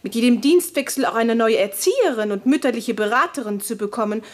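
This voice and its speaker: A woman's voice: on the high side, lively intonation, fairly quick. An actress playing a critical, articulate woman making an ironic formal argument in a radio play. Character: critical, ironic woman speaker defending servant girls.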